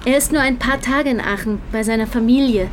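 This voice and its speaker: Casting: woman as reserved young typist with quiet defiance, higher voice, faint background noise, radio play. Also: a little fast, close to an everyday voice. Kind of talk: naturalistic radio drama dialogue.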